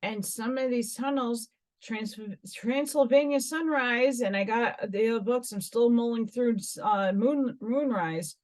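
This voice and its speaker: A woman's voice: fairly high pitch, a little slow, a little mellow. An American woman from New York hosting a vlog, reading articles aloud and breaking off into musing, dramatic asides. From New York, United States. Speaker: host of a metaphysical vlog. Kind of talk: reading articles aloud with rambling personal commentary.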